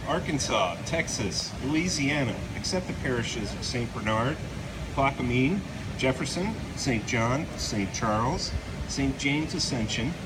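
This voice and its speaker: A man's voice: mid-range voice, measured pace. A man giving a formal read-aloud of an official proclamation. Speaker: reader at a celebration. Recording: noisy recording, steady ambient hum.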